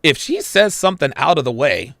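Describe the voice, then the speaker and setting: Blunt crude guy reacting on a live stream, swings his pitch all over, dry sound. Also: big pitch swings, fairly quick, vocal fry, fairly bright tone, no room sound. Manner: blunt, crude, swears often; addresses live chat viewers. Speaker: podcast host reacting to a clip.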